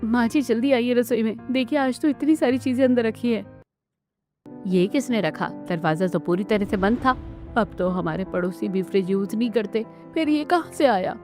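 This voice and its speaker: A woman playing an anxious, excitable young daughter-in-law in a cartoon family drama, earnest and dramatic. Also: fairly high pitch, normal speed, soft background music, lightly acted. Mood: alarmed, scared.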